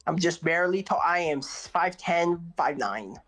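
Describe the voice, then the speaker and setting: A woman whose fairly low voice swings widely as she talks. She jokes at her own expense in relaxed, friendly chat. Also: moderate pace. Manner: self-deprecating, joking. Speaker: co-host. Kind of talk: casual chat.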